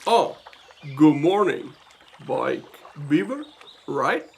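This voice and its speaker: A man's voice: a little high, big pitch swings, very slow, gravelly, husky, heavily acted. A man playing a slick, sweet-talking businessman in a children's audio drama, with an American accent in Swiss German and English words mixed in. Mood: smug. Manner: smarmy, mixes in English words.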